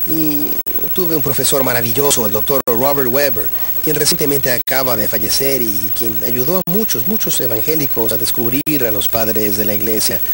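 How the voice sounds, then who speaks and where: A man from Texas speaks as an interview guest in a middle-pitched voice. He tells his story earnestly and reflectively, and he often pauses and hesitates as he searches for words. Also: background noise. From Texas, USA. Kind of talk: personal faith testimony in conversation.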